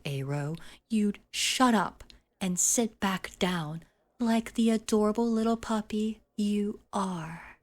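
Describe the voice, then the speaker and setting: A woman plays a domineering delinquent schoolgirl in an ASMR roleplay. She speaks very slowly at a middle pitch, condescending and teasing, with menace under the sweetness. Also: darkish tone. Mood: mock-sweet, menacing.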